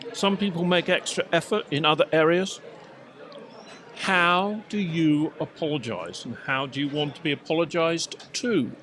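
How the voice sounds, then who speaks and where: Man hosting, higher-pitched and dry. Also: a bit bright. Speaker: podcast host. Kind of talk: casual panel talk.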